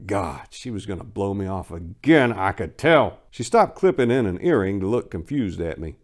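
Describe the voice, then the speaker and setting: A lower-voiced man delivers a dramatic reading with theatrical flair. His pitch swings widely as he leans on words with emphasis and a wry edge, in the manner of a host telling a tale. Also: expressive, moderate pace. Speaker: writer and channel host performing dramatic readings. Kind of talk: dramatic first-person story reading.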